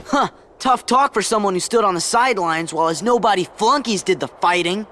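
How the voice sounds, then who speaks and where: A man's voice: high-pitched, fairly quick, bright, forceful tone, a little hiss or hum. An actor playing a brash, hot-headed teenage boy hero in a video game, blunt and defiant. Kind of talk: video game cutscene acting.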